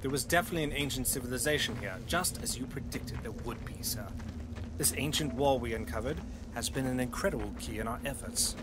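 A man's voice: mid-range voice, a little fast, lightly acted. Man as deferential Imperial commander, noisy background. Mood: eager to please. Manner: formal, hesitant, placating. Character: deferential, cautious male Imperial commander reporting to superior. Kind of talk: dramatized sci-fi audio drama acting.

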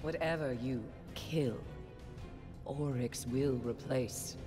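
Slow, haunted mystic seer. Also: female voice, very deep voice. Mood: ominous, grave. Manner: slow, cryptic, portentous. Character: haunted, grim female mystic seer, ominous. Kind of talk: cinematic game acting. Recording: reverberant hall, music behind.

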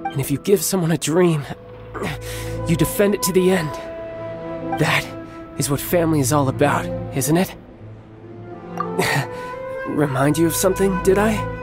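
A young man voicing a confident, teasing warrior who is wounded yet calm and protective of his younger brother. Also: on the high side, measured pace, pauses often, music in the background, natural voice. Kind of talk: game voice acting.

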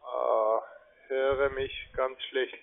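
A man with a foreign accent giving a halting, formal phone sales pitch in broken, non-native phrasing. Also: flat delivery, gravelly. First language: not German. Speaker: cold-calling account manager. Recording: tinny, band-limited phone line.

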